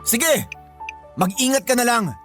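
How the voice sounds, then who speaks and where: A man's voice: very high-pitched, sing-song, unhurried, a bit bright, soft background music, heavily acted. A man acting a defensive, exasperated male lover in Filipino radio drama, with terse replies.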